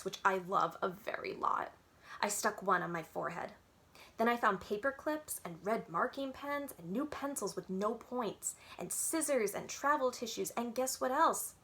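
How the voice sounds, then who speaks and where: A woman reading a children's book aloud as a storyteller, with expressive, childlike emphasis in a first-person narration. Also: mid-range voice, normal speed.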